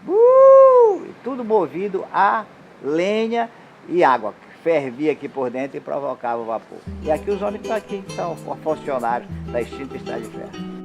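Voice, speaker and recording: A man's voice: on the high side, very animated, moderate pace, gravelly, over music. Man pointing out old photos. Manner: pointing things out, repeating "aqui" and "olha". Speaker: local resident showing old photos. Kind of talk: casual guided explanation.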